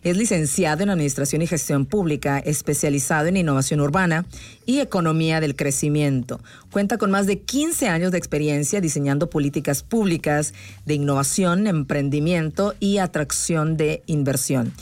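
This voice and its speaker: A woman's voice: low-pitched, moderate pace, darkish tone, dry recording. Woman hosting a podcast. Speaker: podcast host. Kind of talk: conversational podcast interview.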